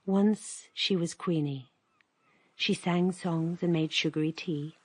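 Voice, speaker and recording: Woman narrator, quiet lyrical reading, slow, breathy, dry close sound. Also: lowish voice, steady tone, dry recording. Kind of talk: scripted radio drama narration.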